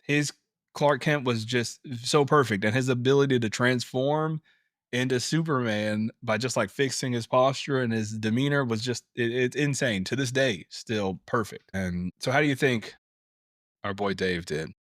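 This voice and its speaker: Animated man on a dry podcast mic. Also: medium pitch, moderate pace, no echo. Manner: animated, laughs often, swears casually. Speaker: podcast co-host. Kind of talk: casual chat.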